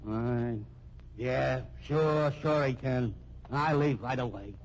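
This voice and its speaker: An actor playing a brusque, skeptical police captain in a 1950s radio mystery, blunt and matter-of-fact. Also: male voice, medium pitch, slow. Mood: obliging. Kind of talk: 1950s radio drama acting. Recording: dull, noisy old radio recording.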